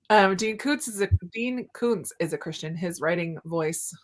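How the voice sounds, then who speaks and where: A woman's voice: medium pitch, animated, takes it slowly, a bit bright. A woman hosting a casual book discussion, chatting informally and often laughing through her words.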